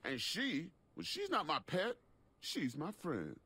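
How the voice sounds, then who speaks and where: An actor playing a big-bellied, blustering strongman knight with a soft side in a fantasy game, gruff and theatrical. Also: fairly high pitch, huge pitch swings, slow pace, full character voice. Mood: defensive, tender. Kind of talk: animated video game acting.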